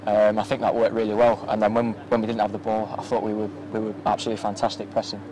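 A young man, a footballer, giving a soft-spoken post-match interview outdoors at a floodlit ground at night, with many ums and restarts, into a handheld mic. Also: on the low side, completely flat delivery, fast talker. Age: young adult. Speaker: professional footballer. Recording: outdoor ambience with faint noise.

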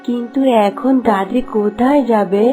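Woman as cartoon boy, gentle, concerned, soft mellow tone, faint music behind. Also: a little high, normal speed, lightly acted. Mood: worried. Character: gentle, worried young grandson. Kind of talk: cartoon voice acting.

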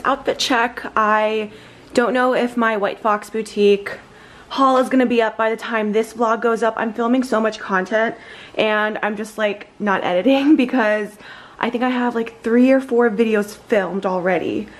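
A woman's voice: on the high side, fairly even intonation, normal speed. A woman in her twenties chatting casually to a handheld camera at home and in her car, rambling with lots of fillers. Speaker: lifestyle vlogger. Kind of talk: casual vlog chat to camera. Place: at home, then in a parked car. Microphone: handheld camera or phone at arm's length.

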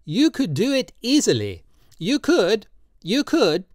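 A storybook reader voicing an excitable, drunken old man who pleads and insists, raised well above the reader's own voice with an altered tone. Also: medium pitch, slow, dry recording, clean studio sound, pitched above the performer's own voice. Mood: pleading.

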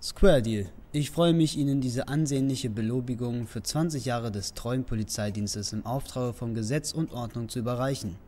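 An actor playing a solemn city official speaking ceremonially in a German audio drama. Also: male voice, mid-range voice, moderate pace, few pauses, dark tone, natural voice. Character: formal, solemn city official presenting a commendation. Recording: long hall reverb, faint noise.

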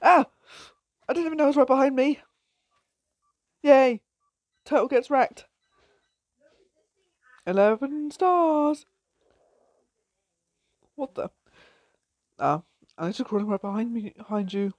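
A woman casually commenting on her own gameplay with a croaky voice, giggling and exclaiming often. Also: high-pitched, takes it slowly, pauses often, no echo. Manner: giggly, excitable, frequent laughs and exclamations.

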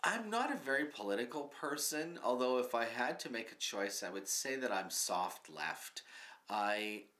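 A man's voice: medium pitch, very animated, moderate pace, bright, forceful tone. A man in his fifties, answering in an earnest, matter-of-fact way with lots of ums, seated in a small studio. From Canada. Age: 50s. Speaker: comedy writer, guest. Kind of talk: mock interview, plain answers. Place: small studio with curtain backdrop.

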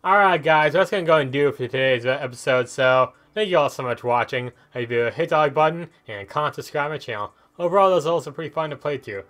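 A man's voice: on the high side, fast, few pauses, a bit bright. A man giving casual gameplay commentary as a video host, chatting and thinking aloud as he plays. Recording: game music behind the voice. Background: video game music.

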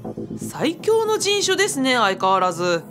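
An actress playing a wry, teasing, often exasperated companion to a spirit in a radio drama, with sardonic banter. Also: female voice, a little high, huge pitch swings, moderate pace, music in the background.

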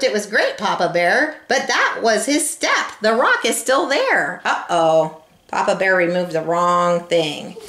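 A middle-aged woman reads a picture book to children in a very lively, swooping voice. She explains as she goes in an expressive, instructive way. The sound is roomy, as from a camera in a home kitchen. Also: mid-range voice. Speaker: storyteller reading a picture book aloud. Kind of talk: children's read-aloud with explanatory asides. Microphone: camera a short distance away.

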